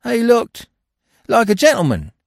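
A male narrator acts out a cunning, sullen pickpocket from a crime novel. His pitch rides high and swings widely. The speech is colloquial and unschooled, with an impudent, defiant manner. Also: huge pitch swings, a little slow, creaky, no echo, studio quality, exaggerated voice. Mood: indignant.

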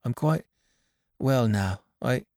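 A man voicing a gentle young wife in a storybook reading, soft and quiet. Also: medium pitch, slow, no room sound, studio quality, pitched above the performer's own voice. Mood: shaken.

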